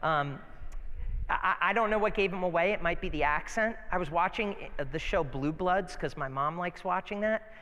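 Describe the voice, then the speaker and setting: A man's voice: high-pitched, moderate pace, pushed and bright. A man with an American accent preaching conversationally, mixing Bible readings with informal stories and rhetorical questions. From northeastern United States. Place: large reverberant hall, live sermon. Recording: long hall reverb.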